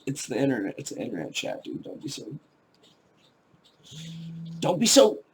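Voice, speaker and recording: A man's voice: mid-range voice, huge pitch swings, moderate pace, slight background noise. A man hosting a casual live stream, bantering loosely and swearing as he chats. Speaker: stream host. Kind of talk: casual chat.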